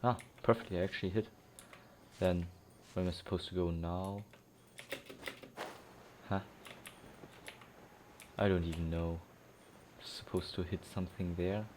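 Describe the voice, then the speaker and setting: A man's voice: sits low, fairly even intonation, unhurried, long pauses, slight background noise. A man casually commentating as he plays a video game, rambling and thinking aloud. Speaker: gameplay commentator. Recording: game music loud behind. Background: game music.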